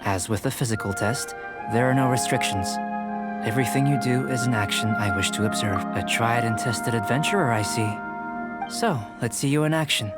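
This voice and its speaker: An actor playing a composed young male alchemist in a game, with measured, formal, scholarly speech. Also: medium pitch, close to an everyday voice. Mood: calm. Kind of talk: game cutscene voice acting. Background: game music score.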